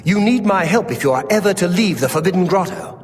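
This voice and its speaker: Haunted, earnest cultist in a reverberant hall. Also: male voice, sits high, expressive, quick pace, a little hiss or hum. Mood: urgent, hopeful. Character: regretful male undead cultist, once a raider, pleading. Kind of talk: theatrical fantasy game dialogue. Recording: reverberant hall, faint music behind.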